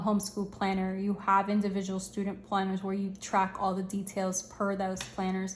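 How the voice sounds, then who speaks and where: Upbeat, slangy woman host, fraying hoarse voice, steady flat delivery. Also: mid-range voice, reverberant, a little hiss or hum. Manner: chatty, upbeat, slangy, thinks aloud. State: losing her voice, slightly hoarse. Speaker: channel host reviewing planners. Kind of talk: casual product review walkthrough.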